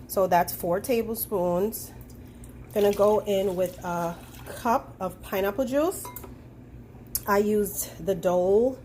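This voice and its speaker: A woman with a stuffy, nasal head-cold voice talks her way through a cooking demo in a loose, chatty way, full of ums and asides. She is filming herself handheld in a home kitchen. Steady household noise sits clearly behind her voice. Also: medium pitch. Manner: chatty, relaxed, many ums. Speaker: private chef. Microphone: handheld phone camera.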